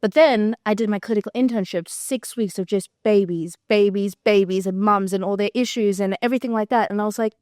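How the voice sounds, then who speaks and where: A young Australian woman talks through her work in easy podcast chat, and her stories bounce along with little acted-out quotes. Her voice is smooth and clear, recorded close and dry with no room sound around it. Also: mid-range voice, fairly quick, hardly any pauses, no echo. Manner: chatty, animated storytelling with acted-out quotes. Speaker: physiotherapist guest.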